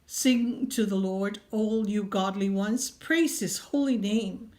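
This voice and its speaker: A woman reading scripture aloud in a measured, earnest way. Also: medium pitch, darkish tone.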